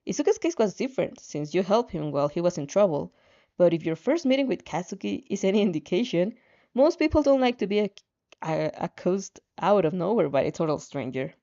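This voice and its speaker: A woman reading a story aloud as an amateur narrator, expressive and sometimes stumbling over words. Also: fairly low pitch, fairly quick, lo-fi sound. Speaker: fan fiction reader.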